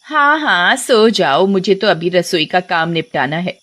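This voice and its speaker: A woman voicing an excitable, nagging village wife in a dramatized horror story, with exclamatory delivery. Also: fairly low pitch, a little slow, heavily acted.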